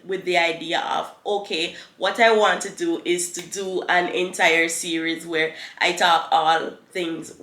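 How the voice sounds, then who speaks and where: A woman's voice: on the low side, a bit bright. A young woman with a slightly congested voice, explaining things to camera like a tutorial host. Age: young adult. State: congested from a cold. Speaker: YouTube host giving advice. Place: small living room on a couch, indoors. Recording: roomy, echoing living room.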